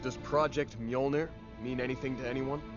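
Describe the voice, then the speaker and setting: A man playing a terse, dutiful young super-soldier leader with a disciplined manner in an animated sci-fi drama. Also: medium pitch, normal speed, close to an everyday voice. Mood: focused. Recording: slightly dull, music behind.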